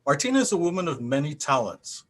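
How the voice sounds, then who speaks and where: A man's voice: fairly high pitch, sing-song, fast, a bit bright. A man acting as interviewer, giving prepared introductions and questions in a formal, courteous manner. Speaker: interviewer, festival president.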